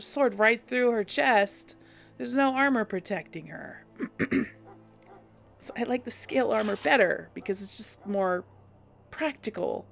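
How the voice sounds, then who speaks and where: Brief: female let's play host, slow and gravelly, hesitant, thinking out loud. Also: medium pitch. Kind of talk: casual gameplay commentary.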